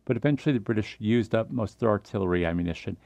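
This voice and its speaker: A man's voice: fairly low pitch, rapid-fire, vocal fry, darkish tone, no room sound. A man reading a scripted history documentary narration as the narrator.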